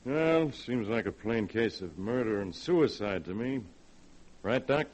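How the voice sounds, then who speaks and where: An actor in a 1940s radio drama playing a businesslike police inspector with an informal American manner. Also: male voice, fairly low pitch, expressive, unhurried, a little mellow. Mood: businesslike, questioning. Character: brisk, plain-spoken police inspector.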